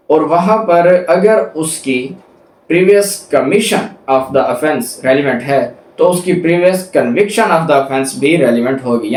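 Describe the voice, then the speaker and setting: A male law lecturer with a voice a little higher than average. He reads out formal text, then explains it methodically, repeating key points. The sound is roomy and echoey, with the microphone some way off. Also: a little mellow. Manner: didactic, rephrases and repeats points step by step. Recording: roomy echo, distant microphone.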